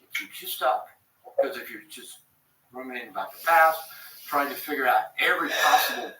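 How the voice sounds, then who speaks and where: A man's voice: medium pitch, fairly quick, pushed and bright. A man giving a motivational talk about fear of failure, speaking in an emphatic, conversational way. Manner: emphatic, conversational, "you know". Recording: video played over speakers, distant, echoey and noisy.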